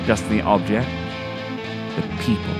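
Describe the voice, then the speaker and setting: Male essay narrator, low register, theatrical and measured phrasing, scripted read over a clear music bed. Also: steady tone. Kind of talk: scripted narration, dramatic horror-tinged monologue and video essay.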